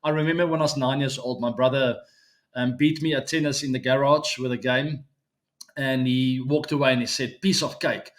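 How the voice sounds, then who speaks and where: A man in his forties, Afrikaans his first language, talks straight to his viewers in a loose, friendly way. He fills gaps with ums and uhs and laughs easily, his voice sitting in an ordinary middle pitch. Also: fairly even intonation, normal speed. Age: 40s. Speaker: cricket show host. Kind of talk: casual solo chat to viewers.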